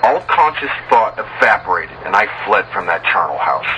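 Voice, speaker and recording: A man narrating a horror tale in the first person as a doomed, despairing sailor, grave and deliberate, with dramatic pauses. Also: low-pitched, big pitch swings. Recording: clearly noisy bed of ambient sound.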